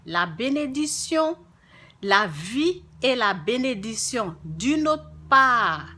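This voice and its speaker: African woman w francophone accent chatting about faith to viewers, slow pace, bright sharp voice, repeats stuff for emphasis. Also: on the high side. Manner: emphatic, repeats phrases for stress. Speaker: religious vlogger. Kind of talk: informal spiritual talk to viewers.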